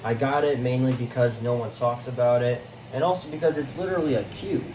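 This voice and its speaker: A man's voice: mid-range voice, a little fast. A man giving a casual, unscripted show-and-tell as a vlogger, talking in a slangy, easily exasperated way. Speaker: vlogger showing a radio collection. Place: indoors, room at home. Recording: distant mic, faint background noise.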